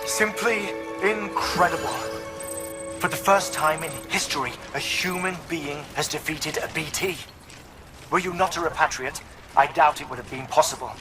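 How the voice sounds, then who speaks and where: An actor playing an earnest scientist in a game cutscene, speaking in a formal, articulate, awed way. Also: male voice, on the high side, normal speed, music in the background, close to an everyday voice. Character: earnest male scientist marveling at a breakthrough. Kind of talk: dramatic video game cutscene acting.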